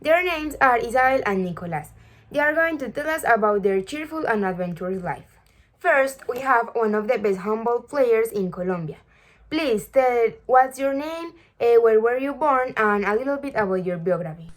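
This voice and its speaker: A woman's voice: fairly high pitch, lively intonation, moderate pace. A woman hosting an interview, reading introductions and questions from a script. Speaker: interview host.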